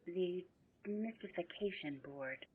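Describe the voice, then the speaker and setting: Woman as a slow, husky, meek spirit assistant. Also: on the low side, narrow, tinny audio. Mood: apologetic, deferential. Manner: hesitant, deferential, broken phrasing. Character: meek, earnest, otherworldly female assistant spirit. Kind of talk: sci-fi supernatural audio drama.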